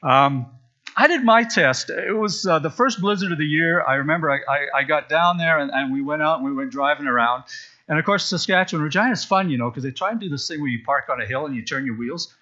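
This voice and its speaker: A man from Zambia preaches to his congregation in a relaxed, storytelling way, full of asides. His voice is bright and a little high. The recording sounds muffled and lo-fi. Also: a little fast. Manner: chatty, storytelling, with frequent asides. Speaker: pastor. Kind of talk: sermon. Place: church sanctuary.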